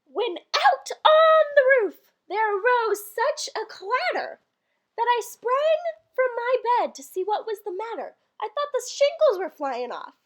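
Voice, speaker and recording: Female reader, very high voice, lively swings in pitch, theatrical comic storytelling with dry asides. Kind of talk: dramatic comedic reading of a Christmas poem with ad-libbed asides.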